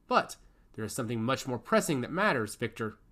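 A man's voice: medium pitch, big pitch swings, fairly quick, bright, forceful tone. A man voicing a guarded adult woman scientist in an audiobook story, talking firmly and clipped, sometimes harsh. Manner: firm and clipped, turning harsh. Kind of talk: audiobook character voice.

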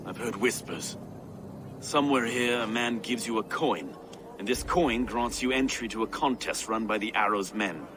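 A man's voice: lowish voice, normal speed, noisy background, close to an everyday voice. An actor playing an earnest, pious and troubled medieval lawman in a video game, grave and formal. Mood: guarded. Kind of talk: video game cutscene acting.

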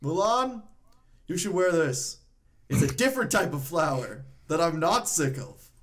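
Woman playing a gloomy old father, lively pitch. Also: a little slow. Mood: weary. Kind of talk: improvised comedy cartoon fandub.

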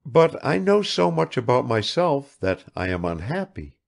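Man as aristocratic young woman, high, slow, creaky, languid, proud, world-weary, audiobook dialogue. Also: very animated. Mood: unhappy, proud. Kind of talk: dramatised literary audiobook dialogue.

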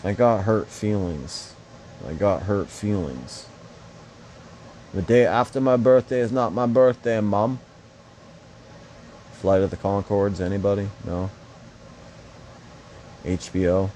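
A man's voice: on the low side, fairly even intonation, takes it slowly, pauses often, darkish tone, slight background noise. A young man casually commenting on a mobile fighting game, rambling and swearing with joking asides.

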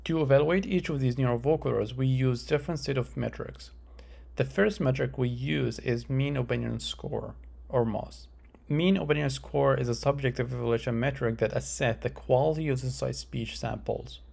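A man giving a scripted academic presentation as a researcher, in a measured, formal, explanatory way. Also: mid-range voice, gravelly, darkish tone. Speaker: researcher presenting a conference paper.

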